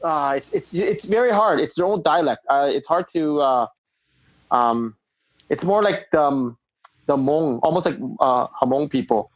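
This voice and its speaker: A man from Laos, guest on a casual podcast chat, talking in a halting way with many restarts. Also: fairly high pitch, poor audio.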